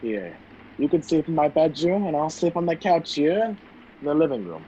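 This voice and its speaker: Earnest gentleman hero on muffled audio man acting. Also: fairly high pitch, very animated, normal speed. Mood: polite, easygoing. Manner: polite, hesitant, earnest. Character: gentlemanly male hero caught in a spy intrigue. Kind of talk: old-time radio play acting. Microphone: video call. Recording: dull, noisy video call audio.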